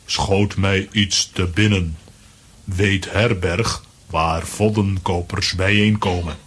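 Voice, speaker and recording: A man's voice: sits low, fairly even intonation, takes it slowly, fairly bright tone, husky, heavily acted. An actor playing a simple, good-natured strongman in a children's audio play, speaking in short telegraphic phrases. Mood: plodding, earnest. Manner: telegraphic speech without articles.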